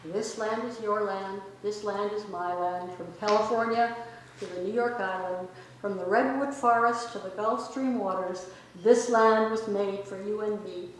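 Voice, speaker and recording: A woman's voice: mid-range voice, steady tone, measured pace. Woman speaking in a very echoey gallery. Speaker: reader at a library poetry event. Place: large indoor library art gallery. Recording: very echoey gallery with background noise.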